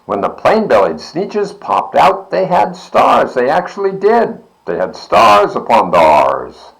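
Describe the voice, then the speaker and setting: A man's voice: on the high side, wildly swooping pitch, takes it slowly, gravelly, poor audio. A man reading a children's storybook aloud expressively, with dramatic, rhythmic verse delivery. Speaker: library board member reading aloud.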